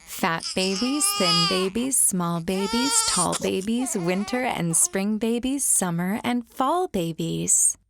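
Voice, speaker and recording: A young adult woman reading a rhyming children's picture book aloud in a gentle, sing-song storytime manner. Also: mid-range voice, animated, takes it slowly, creaky, hardly any pauses, a little hiss or hum.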